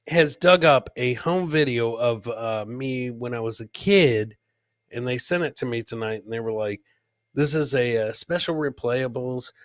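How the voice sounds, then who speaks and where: A man's voice: medium pitch, a bit bright, no room sound, clean studio sound. A man hosting a live comedy clip show, bantering casually and jokily with his co-host and chat. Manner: jokey, addresses co-host and live chat by nickname.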